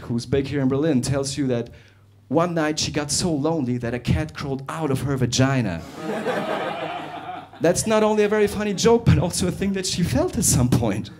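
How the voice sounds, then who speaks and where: Lively stand-up comic. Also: male voice, a little high. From Russia. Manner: animated, conversational, jumps between fast and drawn-out delivery. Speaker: stand-up comedian. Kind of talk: stand-up comedy set. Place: comedy club with live audience.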